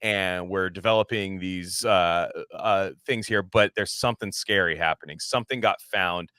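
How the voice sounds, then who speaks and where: A man co-hosting a podcast, talking casually about film in a halting, rambling way and often slipping into imagined dialogue. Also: sits low, sharp tone, smooth, no room sound, studio quality. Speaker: podcast co-host.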